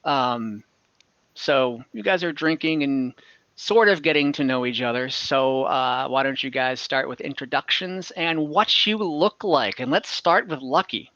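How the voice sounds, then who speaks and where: A man speaking as game master of an online tabletop game, halting and pausing to think as he sets the scene. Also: mid-range voice, bright, forceful tone, no room sound. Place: indoor room on a group video call. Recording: dull video-call audio.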